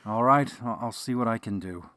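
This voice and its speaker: A man's voice: fairly low pitch, a little fast, noisy background, natural voice. A man playing an affable, cooperative mortuary doctor in an audio drama, explaining things in a mild, hesitant way. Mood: reluctant. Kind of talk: modern audio drama acting.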